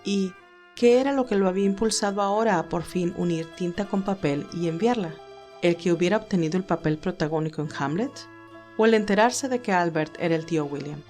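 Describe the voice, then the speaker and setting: A woman's voice: on the low side, a little fast, darkish tone, faint music behind. A woman narrator reading a scripted romantic story.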